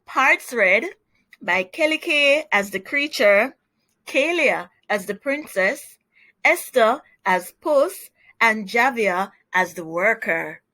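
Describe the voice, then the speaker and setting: Slow, clear teacher reading a storybook. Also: female voice, on the high side, expressive, pushed and bright, poor audio. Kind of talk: children's read-aloud narration.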